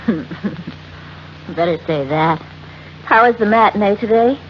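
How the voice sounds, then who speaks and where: An actress playing a young, wealthy wife in an earnest, melodramatic 1940s radio drama style. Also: female voice, medium pitch, lightly acted. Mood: affectionate, teasing. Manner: earnest, melodramatic, halting under strain. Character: young wealthy wife, affectionate but anxious and secretive. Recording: muffled, hissy old radio recording.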